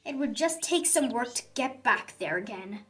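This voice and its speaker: Woman voicing a high, dramatic little sour grape. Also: lively intonation, natural voice. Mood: calm, wistful. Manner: theatrical, exclaiming, drawn-out emphasis on words. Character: grudge-holding little sour grape, dramatic and repentant, telling its story. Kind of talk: children's picture book read-aloud.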